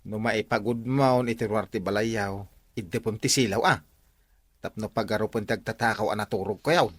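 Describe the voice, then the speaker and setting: A man's voice: lowish voice, fairly quick, a bit bright, no room sound. Man playing a dry, scolding Filipino village watchman. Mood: plotting, hushed. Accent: Filipino, Ilocano. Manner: interrogating, scolding. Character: scolding male village watchman, stern, accusing. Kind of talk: Ilocano comedy radio drama acting.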